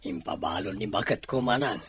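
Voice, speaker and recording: A man playing a cheerful, relieved married younger brother in an Ilocano audio drama, with a very raspy voice. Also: on the low side, fairly quick, fairly bright tone, dry recording. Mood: weary, friendly.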